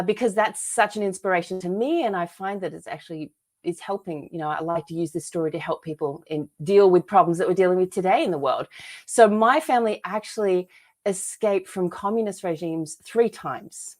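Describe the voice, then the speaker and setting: A woman with an Australian accent, speaking earnestly as a guest author in an interview, with frequent restarts and fillers. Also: mid-range voice. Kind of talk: interview conversation.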